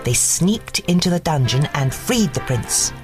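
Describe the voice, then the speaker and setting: A woman narrating a scripted children's bedtime story in an expressive storytelling manner. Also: low voice, unhurried, music in the background.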